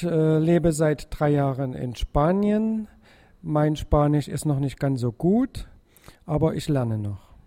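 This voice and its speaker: Guy coaching a football team, slow hesitant read, warm mellow tone. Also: on the high side. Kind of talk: scripted monologue for a listening exercise.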